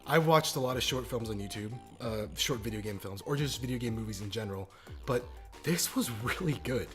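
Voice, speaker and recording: A man giving a casual, opinionated video review in an informal, emphatic, jokey and sweary way. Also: mid-range voice. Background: background music.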